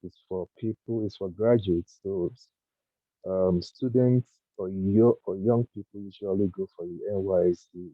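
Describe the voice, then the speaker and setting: Deep-voiced man, croaky, phone-like. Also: dry recording. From Nigeria. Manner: earnest, thoughtful, halting with fillers. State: croaky, just woken early. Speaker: guest speaker. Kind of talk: online panel talk, personal story. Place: home, early morning. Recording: dry, tinny phone-like call audio.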